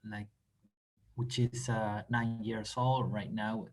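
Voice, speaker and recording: A man from Argentina, a native Spanish speaker, answering interview questions over a video call, pausing often with fillers and restarts. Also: lowish voice, little pitch movement, a little mellow. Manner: halting, many fillers and restarts. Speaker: company representative guest.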